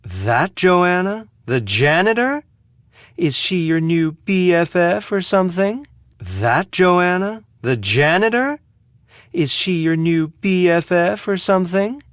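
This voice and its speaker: A sardonic American man as a spa receptionist in a video game. His delivery is theatrical and snide, with pitch that swings widely. The sound is close and dry, with no room around it. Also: sing-song, measured pace, no room sound. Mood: snide, offended. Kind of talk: video game character dialogue.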